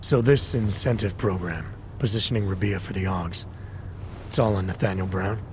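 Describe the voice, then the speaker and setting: Man acting low-voiced, skeptical game hero. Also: normal speed, a little mellow, a little hiss or hum, close to an everyday voice. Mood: dry, doubtful. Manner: terse, dry, questioning. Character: guarded, skeptical, dry-witted male action game hero. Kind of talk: video game dialogue acting.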